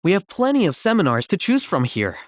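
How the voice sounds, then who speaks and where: Game casting: friendly male townsfolk greeter, slightly high voice, dry recording. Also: wildly swooping pitch, a little fast, dry recording. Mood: welcoming. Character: friendly, earnest canyon town local greeter. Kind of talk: video game character acting.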